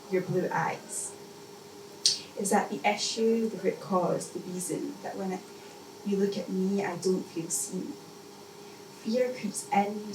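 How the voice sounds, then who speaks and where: Woman reading poetry, echoey room. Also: medium pitch. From Scotland. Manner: measured, deliberate phrasing with repetition and pauses. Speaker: poet. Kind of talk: spoken-word poetry reading. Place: indoor live poetry event. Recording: echoey, noisy room, mic distant.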